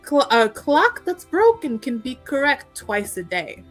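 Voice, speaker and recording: Woman, high animated voice, casual hesitant roleplay, improvised dialogue and action, background music. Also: a little slow. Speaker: tabletop RPG player. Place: online streamed game session.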